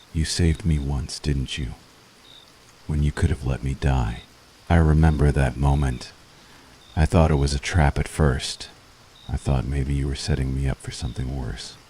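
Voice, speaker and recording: A man playing a brooding, conflicted witch hunter in an intense, deliberate ASMR roleplay monologue. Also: very deep voice, dark tone, slight background noise.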